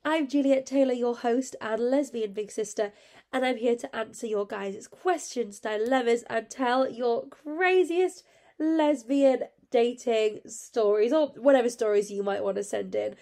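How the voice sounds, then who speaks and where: A young woman talks from her bed, her nose badly blocked by a cold. Her voice is gravelly with a dark, mellow tone and a slightly higher pitch. She rambles in a chatty, easygoing way, full of fillers and asides. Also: measured pace. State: congested with a cold. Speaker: podcast host. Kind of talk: casual solo podcast chat. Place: at home, in bed.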